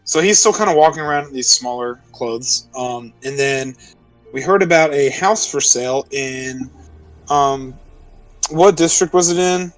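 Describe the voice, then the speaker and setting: A man's voice: medium pitch, a little slow, no echo, distant mic, low-quality recording. A man playing a tabletop roleplaying game, casually recapping the session and checking details with the others as he goes. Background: music.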